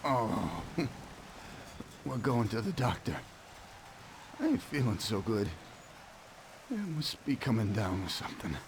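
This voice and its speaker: Weak, slow-speaking wounded mobster. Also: male voice, fairly low pitch, lively intonation, gravelly, a little hiss or hum, exaggerated voice. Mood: weak, wry. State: weak, in pain. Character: weak, wounded 1930s mobster, wryly stoic. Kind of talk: dramatic video game voice acting.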